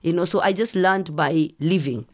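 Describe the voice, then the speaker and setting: A middle-aged woman from Kenya, telling lively stories as a podcast guest and acting out the voices of people she quotes. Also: fairly low pitch, normal speed. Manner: animated storyteller, acts out quoted voices. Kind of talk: casual storytelling interview.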